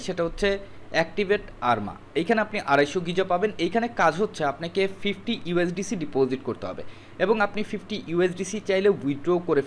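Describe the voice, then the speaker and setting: A man's voice: on the high side, slight background noise. A man narrating a screen tutorial step by step, instructive and mixing in English words. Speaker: tutorial presenter.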